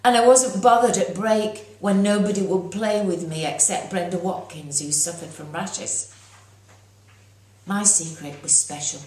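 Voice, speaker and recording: A woman reading her own children's story aloud as its author, in an expressive narrating style. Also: fairly low pitch, a little slow, slight background noise. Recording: roomy echo.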